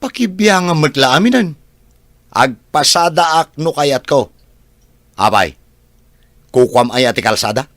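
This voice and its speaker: An actor with a Filipino Ilocano accent playing a hot-tempered, defensive grown son who drives for a living, combative and indignant in radio drama style. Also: male voice, on the high side, expressive, measured pace, pauses often, a bit bright, no room sound, clean studio sound. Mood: angry, threatening.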